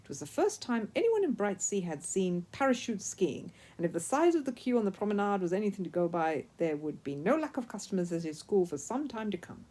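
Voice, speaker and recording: A woman reading a children's story aloud as an expressive narrator. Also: medium pitch, brisk, hardly any pauses. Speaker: storyteller, audiobook reader.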